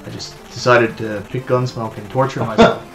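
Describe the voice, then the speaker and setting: A man's voice: medium pitch, flat delivery, normal speed, faint music behind. Man from Newfoundland griping at a game. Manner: frustrated, shouts at the game. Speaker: streamer playing a retro game. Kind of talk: casual live-stream chat.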